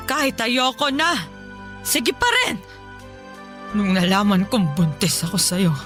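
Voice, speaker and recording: A woman playing a resentful, partly paralysed mother in a Tagalog radio drama, halting and reproachful, cruel to her child. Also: medium pitch. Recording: music bed behind the voice.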